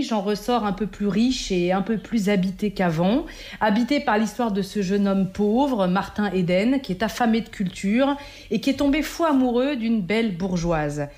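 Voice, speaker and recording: A woman reading her literary column on a radio show, measured and wry with ironic asides. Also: on the high side, a little mellow. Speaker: book columnist.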